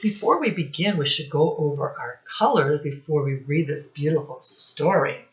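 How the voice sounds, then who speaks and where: A woman teacher speaking to young children in a singsong instructional style, with simple phrases and deliberate repetition. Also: deep voice, expressive, reverberant. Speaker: children's teacher and read-aloud host. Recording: dull, lo-fi sound.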